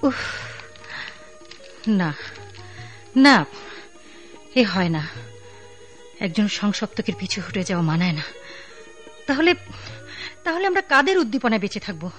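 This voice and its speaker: An earnest, idealistic young office worker. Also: female voice, medium pitch, very animated, slow, pauses often, soft background music. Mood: impassioned. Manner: earnest, reflective. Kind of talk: broadcast radio drama acting.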